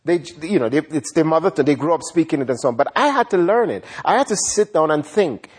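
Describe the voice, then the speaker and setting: Husky man answering reflectively. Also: fairly high pitch, animated. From Ghana. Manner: reflective, unhurried, repeats words as he thinks. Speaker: writer and guest.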